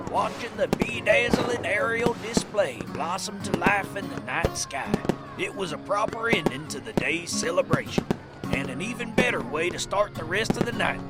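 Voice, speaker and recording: A man playing a folksy storyteller, narrating a Western comedy audio drama in wry, florid prose. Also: high-pitched. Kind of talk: scripted narration. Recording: loud plucked guitar music under voice. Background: plucked guitar music.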